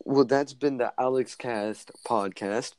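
A man's voice: mid-range voice, slow pace, a little mellow, dry recording. A teenage boy hosting a casual podcast with friends over voice chat, often stumbling over his words and restarting. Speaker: podcast host.

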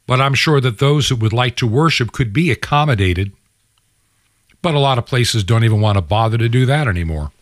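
A man's voice: medium pitch, a bit bright, dry recording. Indignant male radio host, dry close sound. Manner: indignant, preachy; rhetorical questions, emphatic repetition. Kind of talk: opinionated monologue commentary.

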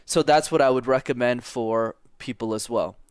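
Energetic man, dry sound. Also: mid-range voice, normal speed, clean tone, dry recording. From Montreal, Canada. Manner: energetic, direct, repeats words for emphasis. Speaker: public speaking coach, guest. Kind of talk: casual interview talk.

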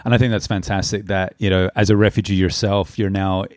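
A middle-aged man hosting a podcast, speaking earnestly and filling pauses with "um" and "uh". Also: low-pitched, flat delivery, darkish tone, no room sound, clean studio sound. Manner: earnest, heavy with "um" and "uh". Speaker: podcast host. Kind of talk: podcast intro and interview.

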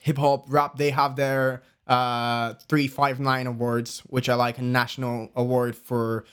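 A man's voice: medium pitch, level tone, a little slow, fairly bright tone, smooth. A young man in his early twenties from Bulgaria, whose first language is Bulgarian, chatting casually as a guest in a loose, rambling way and laughing easily. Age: early 20s. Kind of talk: casual chat.

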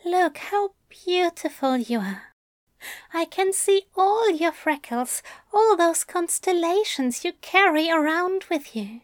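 A woman's voice: sits high, sing-song, unhurried, a bit bright, no room sound, pitched above the performer's own voice, heavily acted. A woman voicing a tender, teasing male angel lover in an audiobook, coaxing and fussily polite with endearments. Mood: admiring, playful. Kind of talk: fan fiction audiobook character voice.

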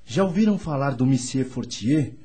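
An actor playing a courteous, well-informed gentleman in a radio soap opera, with formal, florid manners. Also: mid-range voice, expressive, normal speed, breathy edge. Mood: confiding, informative. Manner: formal, florid, theatrical politeness. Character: courteous, well-informed Frenchman, eager to introduce himself.

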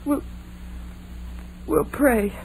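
A woman's voice: drawn-out words, a little mellow. An actress playing a frightened young woman in a 1930s radio drama, pleading breathlessly and repeating herself as she frets over her friend. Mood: hushed, uneasy. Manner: breathless, imploring, repeats phrases. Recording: faintly noisy old 1930s radio recording.

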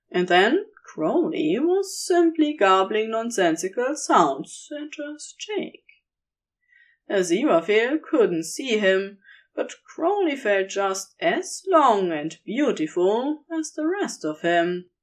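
A woman narrates a story from a script at an unhurried pace. Her pitch moves in lively swings from phrase to phrase.

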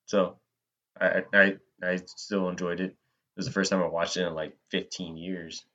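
A man with a very low voice chats casually as a podcast co-host. He is animated and jokey and swears freely. The recording is dull and lo-fi, with the detail muffled. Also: normal speed. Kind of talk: casual chat about movies. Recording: dull, lo-fi band-limited audio.

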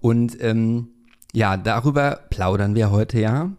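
A man's voice: on the low side, takes it slowly, a little mellow. A man hosting a casual podcast interview, chatty, with many fillers and self-corrections. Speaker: podcast host. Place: room in a Berlin flat.

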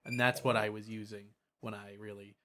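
A man's voice: on the low side, little pitch movement, a little fast, crowd noise. Hesitant man in casual conversation. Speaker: podcast host. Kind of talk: casual chat about tabletop gaming.

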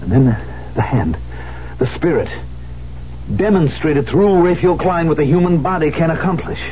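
An actor playing a proud, embittered concert pianist in a 1970s radio drama, with a theatrical, emphatic delivery. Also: male voice, fairly high pitch, animated, moderate pace, mellow, lightly acted. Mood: fervent conviction. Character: proud, embittered concert pianist who lost his hand. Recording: muffled, hissy old radio broadcast.

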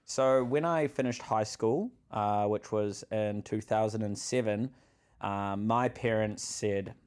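A man's voice: lowish voice, takes it slowly, a little mellow, clean tone. A man, a podcast guest telling personal stories in a rambling way, laughing as he goes. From New Zealand. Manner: rambling, laughs through his stories, many fillers. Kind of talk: candid personal storytelling.

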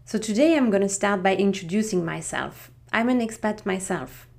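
A woman's voice: mid-range voice, lively intonation, vocal fry, darkish tone. A middle-aged woman from France with a French accent, a native French speaker, hosting a podcast and telling her own story in a reflective way, often restarting her sentences. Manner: unhurried, reflective, with frequent restarts. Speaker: podcast host.

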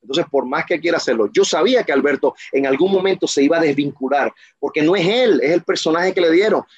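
A man's voice: a little high, quick pace, husky, no room sound. A man in his forties, speaking as a guest in an interview. He talks passionately and emphatically, and he rambles with frequent restarts. The sound is dry and a little dull. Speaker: guest, professional wrestler. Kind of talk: casual interview talk.

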